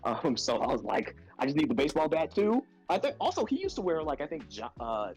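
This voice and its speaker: A man's voice: fairly high pitch, wildly swooping pitch, fairly quick, darkish tone, husky, soft background music. Laid-back New York guy, lots of fillers. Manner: laid-back, filler-heavy, says "bro" a lot. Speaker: podcast co-host. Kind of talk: casual wrestling podcast chat.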